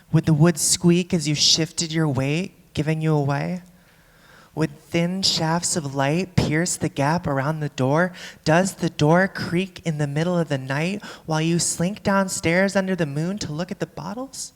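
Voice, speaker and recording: An actress playing an earnest, melancholy, philosophizing online video reviewer in a staged monologue, measured, deadpan and musing. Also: female voice, lowish voice, natural voice. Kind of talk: live staged play monologue. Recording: echoey theatre hall, live stage.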